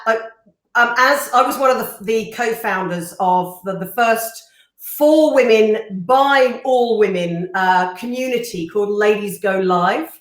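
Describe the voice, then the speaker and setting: A woman co-hosting a live stream, chatting in an animated way and laughing easily as she introduces her guest. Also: medium pitch. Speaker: co-host. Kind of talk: casual live-stream chat. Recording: roomy echo.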